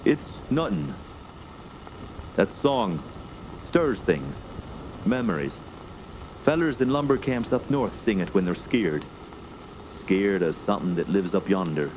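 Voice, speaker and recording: Man acting a low-voiced, uneasy woodsman. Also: animated, slow pace, pauses often, noisy background. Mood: uneasy, ominous. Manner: rustic dialect, hesitant muttering. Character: uneasy backwoods Canadian guide, superstitious, evasive. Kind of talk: radio drama acting.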